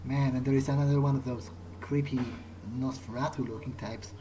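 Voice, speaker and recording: A man's voice: mid-range voice, steady tone, brisk, noisy background. A man giving casual, in-character gameplay commentary, musing aloud to himself in a wry way. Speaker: let's play host. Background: game music.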